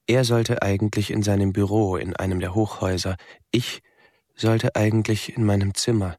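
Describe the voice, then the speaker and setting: An actor playing a withdrawn, melancholy young man who narrates a radio drama in measured, halting short phrases. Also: fairly low pitch, fairly even intonation, darkish tone, dry recording, studio quality, lightly acted. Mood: reflective. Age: young adult. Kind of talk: literary radio drama monologue.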